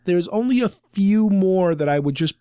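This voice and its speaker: A man's voice: sits high, animated, normal speed, dark tone, clean tone, no echo, clean studio sound. A man co-hosting a podcast, talking casually as a fan in an informal discussion. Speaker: podcast co-host.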